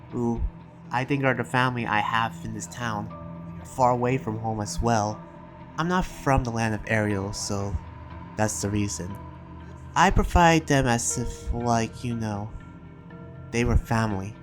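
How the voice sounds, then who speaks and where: An earnest demon slayer played by a man narrates his journal in a game roleplay. He is reflective and halting, with a mid-pitched voice and faint music behind him. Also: natural voice. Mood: confiding. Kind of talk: dramatic roleplay monologue, read as a journal.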